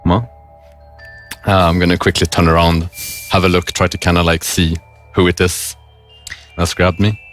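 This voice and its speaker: A man's voice: low-pitched, flat delivery, normal speed, pauses often, faint music behind. A young man playing a tabletop game, easygoing and answering often with "mm-hmm". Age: young adult. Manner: easygoing, frequent "mm-hmm" replies. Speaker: player. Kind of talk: casual tabletop play.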